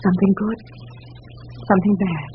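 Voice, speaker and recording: A woman playing a murderous, fatalistic femme fatale, calm, deliberate and commanding in vintage radio noir style. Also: mid-range voice, close to an everyday voice. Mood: resolute, fatalistic. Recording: muffled, noisy vintage radio recording.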